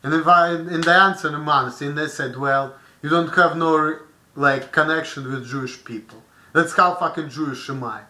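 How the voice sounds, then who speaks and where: A young man with a Russian accent rants into his webcam from a small bedroom, heated and swearing. His voice is bright and piercing, pitched a little high for a man. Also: normal speed. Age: young adult. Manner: heated, profane, gesturing a lot. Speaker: vlogger. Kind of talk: angry webcam rant. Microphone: webcam built-in mic.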